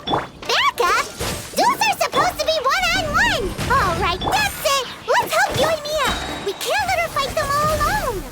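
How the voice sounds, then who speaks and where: A woman voicing a tiny, childlike, loyal and excitable sidekick creature in a video game. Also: extremely high pitch, unhurried, full character voice. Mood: indignant, urgent. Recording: loud game music and battle effects. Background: game music, smashes.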